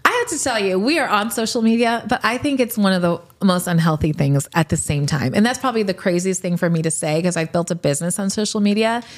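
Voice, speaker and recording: A woman in her late forties, a podcast host, answering rapid-fire questions in a candid, reflective way and laughing easily. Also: mid-range voice. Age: 40s.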